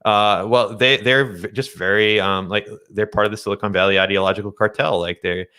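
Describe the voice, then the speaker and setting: Casual male guest, very low pitch with a forceful edge, thinks aloud in halting phrases. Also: fairly even intonation, smooth. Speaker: guest, day trader. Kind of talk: casual discussion of finance and tech.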